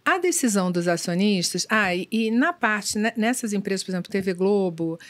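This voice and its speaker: A middle-aged woman executive answering interview questions in a measured, thoughtful way, pausing often with fillers. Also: mid-range voice, expressive. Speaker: business executive guest. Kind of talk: conversational podcast interview.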